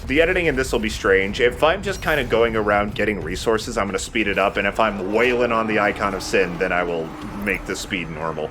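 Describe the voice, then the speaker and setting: A man's voice: on the low side, fairly quick, few pauses. A man giving casual, dryly joking commentary on a video game, with informal wording and some mild swearing. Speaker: let's play host. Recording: clear background noise.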